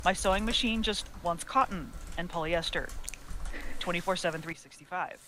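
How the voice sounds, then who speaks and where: A woman's voice: on the low side, moderate pace, bright, forceful tone, a little hiss or hum. A woman co-hosting a podcast in casual chat, talking in a chatty, detailed, self-deprecating way. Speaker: podcast co-host.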